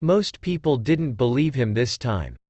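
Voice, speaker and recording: A man's voice: mid-range voice, animated, measured pace, mellow, no room sound, lo-fi sound. A man narrating a scripted story for children.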